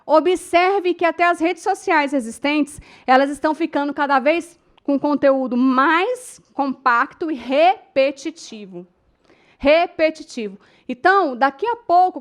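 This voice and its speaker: Brief: Brazilian woman, middle-aged, high voice, public speaking teacher, emotive storyteller who talks straight to the room. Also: measured pace. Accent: Brazilian Portuguese. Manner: emotive storytelling, addresses the audience as "gente". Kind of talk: lecture to a class.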